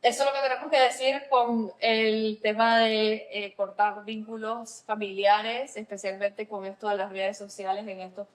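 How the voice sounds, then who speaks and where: Nica woman therapist on a podcast, medium pitch voice, explaining stuff in a chatty but semi professional way. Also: level tone, normal speed, few pauses. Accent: Nicaraguan Spanish. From Nicaragua.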